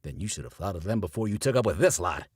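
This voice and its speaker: Guy doing a crazy old preacher yelling hellfire stuff, husky, swoopy. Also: low voice, big pitch swings, normal speed, dry recording, clean studio sound, full character voice. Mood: furious. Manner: shouting, fire-and-brimstone. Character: ranting old male backwoods preacher.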